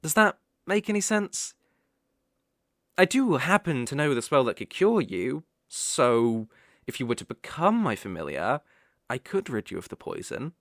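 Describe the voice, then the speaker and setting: A British man playing a sly, possessive young male kitsune fox spirit in an ASMR roleplay, soft-spoken and coaxing with playful hesitations. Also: mid-range voice, big pitch swings, a little slow, dry recording, clean studio sound. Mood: coaxing, explanatory. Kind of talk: ASMR roleplay, acted directly to the listener. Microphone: close microphone, dry.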